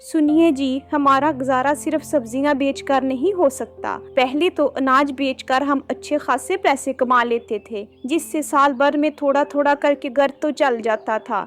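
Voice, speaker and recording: A woman voicing an anxious, practical farmer's wife in a Hindi village cartoon story. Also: sits high. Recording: background music under the voice.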